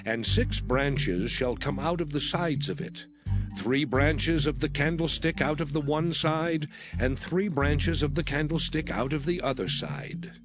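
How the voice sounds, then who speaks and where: A man reading scripture aloud as dramatized audio Bible narration, formal and solemn, with measured phrasing. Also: a little high. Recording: prominent music under the voice. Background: music.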